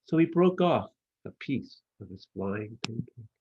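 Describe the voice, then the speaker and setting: A man reading his own children's book as a storyteller, with expressive, measured narration. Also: medium pitch, muffled recording, noisy background.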